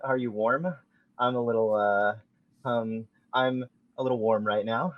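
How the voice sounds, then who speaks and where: A man reading a smug, slow-talking flirt. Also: mid-range voice, steady tone, close to an everyday voice. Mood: flirty. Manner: sleazy, self-satisfied flirting. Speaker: podcast host reading a script. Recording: dull lo-fi sound.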